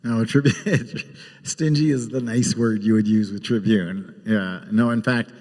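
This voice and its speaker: A man with a lowish voice talks casually on a panel, with long hall echo around him. He is a television producer appearing as a guest. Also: steady tone, a little slow, darkish tone. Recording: echoey hall with long reverb.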